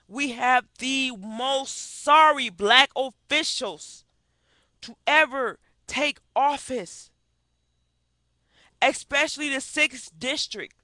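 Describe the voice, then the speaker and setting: A woman's voice: sits high, bright, forceful tone, no room sound. A middle-aged woman giving impassioned, indignant commentary into a webcam headset in a small room, asking pointed rhetorical questions. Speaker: activist vlogger. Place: small wood-paneled room indoors.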